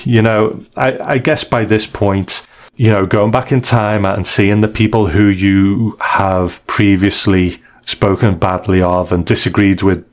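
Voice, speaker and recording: A man co-hosting a casual podcast discussion, analysing stories in a measured, thoughtful, hedging way. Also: on the low side. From outside the United States. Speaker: podcast co-host.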